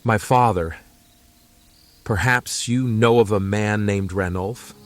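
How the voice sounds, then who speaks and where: A man as a slow-spoken, wise wanderer. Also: fairly low pitch, soft background music. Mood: solemn. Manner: unhurried, philosophical, gently solemn. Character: calm, wise young male traveler from the mountains. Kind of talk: dramatized fantasy audio story.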